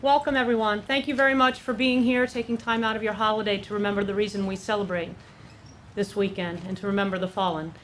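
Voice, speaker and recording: A woman's voice: medium pitch, fairly even intonation, some chatter behind, a little hiss or hum, rough recording. American woman reading a formal proclamation. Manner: measured, formal oratory. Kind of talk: formal read ceremonial address. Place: outdoor memorial ceremony.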